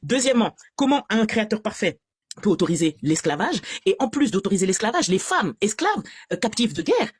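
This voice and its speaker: A woman from France giving an animated, exasperated and sarcastic personal testimony as a guest on a live stream. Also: medium pitch, brisk, breathy edge, dry recording, clean studio sound.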